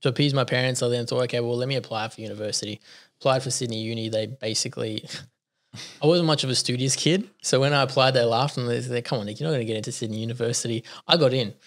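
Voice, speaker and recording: A man from South Africa, a native Italian speaker, talks as a podcast guest at an ordinary middle pitch. He tells his stories casually, drifting into side tracks and laughing easily at himself. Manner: chatty, digressive, quick to laugh at himself. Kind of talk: casual conversational storytelling.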